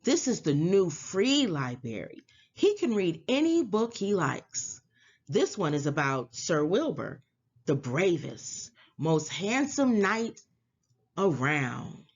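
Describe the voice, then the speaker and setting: A woman's voice: on the low side, sing-song, slow, slightly rough, dull audio, heavily acted. A woman voicing a cheerful, patient young girl in a storybook, polite and encouraging. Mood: proud, welcoming.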